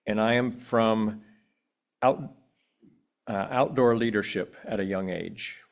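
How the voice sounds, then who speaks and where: A man reading his own personal poem aloud at a church pulpit in a measured, plain way. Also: fairly low pitch, gravelly. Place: church sanctuary.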